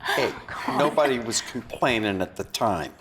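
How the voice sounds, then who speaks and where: A man acting as a genial male college buddy in a stage drama read-through. He has a mid-pitched voice and a slightly hesitant delivery. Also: expressive, normal speed, fairly bright tone, a little hiss or hum. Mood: nostalgic, breezy.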